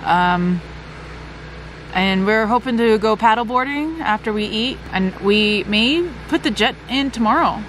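A woman's voice: fairly high pitch, animated, measured pace, a bit bright, a little hiss or hum, poor audio. A middle-aged woman chatting casually as she hosts a travel vlog, recorded on a camera mic. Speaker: vlog host. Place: cabin interior and wooded campsite.

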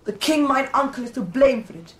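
Anxious noble child, high, plaintive classical delivery in roomy sound. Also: female voice, lively intonation, fairly quick. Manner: plaintive, questioning. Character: young, anxious royal child questioning grandmother. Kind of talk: classical Shakespearean audio drama acting.

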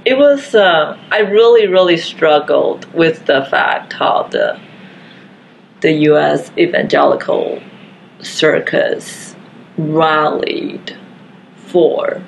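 A woman from Taiwan, speaking haltingly and earnestly as a guest in a casual living-room discussion. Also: deep voice, creaky, a little hiss or hum, poor audio. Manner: slow, halting, earnest, frequent fillers. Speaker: podcast guest.

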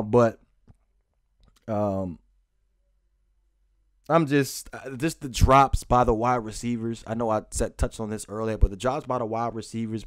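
A man talks through a post-game football recap in an informal, unscripted way. He speaks haltingly and repeats phrases for emphasis, like a fan running his own sports vlog. His voice sits at an average pitch, and the sound is close and dry with very little room echo.

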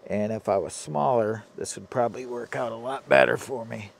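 A man from Michigan vlogging casually from inside a parked car, talking low-key and half to himself. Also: moderate pace, vocal fry. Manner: low-key, quiet, half-muttered asides. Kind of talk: casual vlog commentary. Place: inside a parked car, cold and windy outside. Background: faint wind outside.

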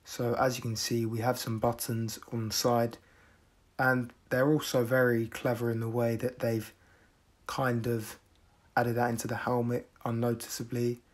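Flat-toned man doing a casual review. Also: mid-range voice, normal speed. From United Kingdom. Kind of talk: casual product review narration.

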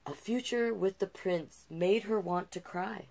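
A middle-aged woman reading a children's picture book aloud with expressive delivery and casual asides. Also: medium pitch, creaky, low-quality recording. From Canada. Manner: unhurried, expressive storybook delivery. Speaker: storytime host and drag king. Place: small cluttered room indoors.

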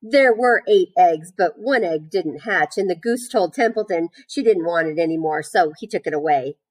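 A woman's voice: on the low side, moderate pace, darkish tone, lightly acted. A woman voicing an earnest, chatty farm girl in a storybook, explaining things eagerly and guilelessly. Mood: eager news.

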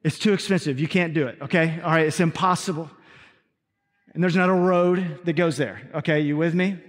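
High-voiced energetic preacher in a reverberant hall. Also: male voice, brisk. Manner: energetic preacher with call-and-response asides. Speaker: pastor. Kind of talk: sermon and stage interview. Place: large church sanctuary.

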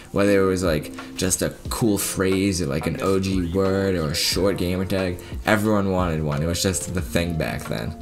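A man in his twenties with a Boston accent from the Massachusetts area, chatting casually as he tells a story over his gameplay footage. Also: low-pitched. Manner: casual, chatty storyteller talking straight to viewers. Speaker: gaming video creator. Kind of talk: sit-down gameplay commentary with story time.

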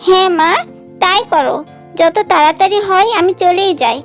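Woman doing proud young cartoon wife, super high voice, very emotional, music behind. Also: normal speed. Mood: eager, happy. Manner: emotional, dramatic. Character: proud, wronged pregnant young landlord's wife. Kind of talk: cartoon melodrama acting. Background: background music.

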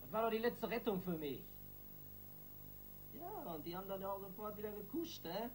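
An agitated, desperate young criminal. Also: male voice, sits high, sing-song, normal speed, soft background music, poor audio. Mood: desperate, pleading. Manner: agitated, slangy, protesting. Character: desperate, angry young male criminal under arrest. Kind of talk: German radio crime drama acting.

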